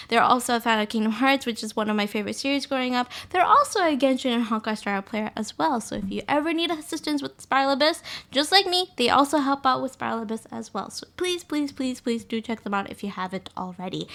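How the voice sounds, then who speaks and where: A woman's voice: high voice, fairly bright tone. A woman streaming live, chatting casually and upbeat with her viewers, greeting them and laughing often.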